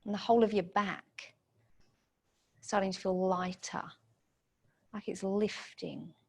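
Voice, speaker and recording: A woman guides a meditation in a mid-pitched voice. She gives soothing, gentle instructions in short, unhurried phrases, leaving room for the listener to follow. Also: steady tone, gravelly, slightly rough.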